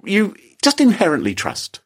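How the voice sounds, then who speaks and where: A man's voice: high-pitched, huge pitch swings, moderate pace, breathy edge. A British man co-hosting a podcast, telling a story in a theatrical, teasing way. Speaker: podcast co-host.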